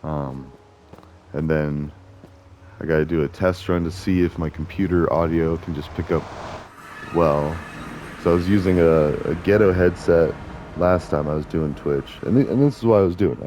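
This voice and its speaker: A man with a gravelly voice, chatting casually and rambling as he plays a video game, often correcting himself. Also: rumbling low, moderate pace, dark tone, a little hiss or hum. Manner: chatty, meandering, self-correcting. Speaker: gaming video host. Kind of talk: casual rambling commentary while playing.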